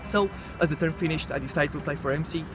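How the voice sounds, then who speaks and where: A man in his twenties from Romania with a Romanian accent, presenting himself earnestly and haltingly in a semi-prepared video. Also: fairly high pitch. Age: 20s. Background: loud music.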